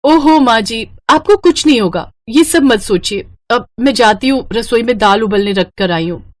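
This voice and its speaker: A woman voicing an exasperated but dutiful young daughter-in-law in an animated story, with an emphatic, complaining manner. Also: fairly high pitch, normal speed, natural voice. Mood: reassuring. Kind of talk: animated moral-story cartoon voice acting.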